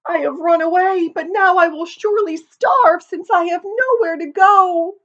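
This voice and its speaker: A woman's voice: very high voice, a little slow, fairly bright tone, dull audio, pitched above the performer's own voice. A woman voicing a worn-out, frightened old male hunting dog in a storybook, gasping as he speaks. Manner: gasping, anxious.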